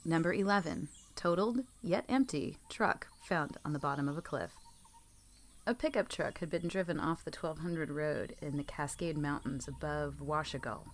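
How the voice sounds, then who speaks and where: A woman's voice: low-pitched, moderate pace. A woman reading scripted true scary stories as a storytelling narrator.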